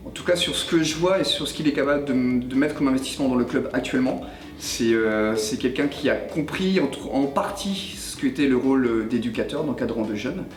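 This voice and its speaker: A man in his forties, a youth football coach, speaking in a measured interview with frequent hesitations. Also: medium pitch. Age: 40s. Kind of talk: documentary interview. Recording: roomy, distant mic.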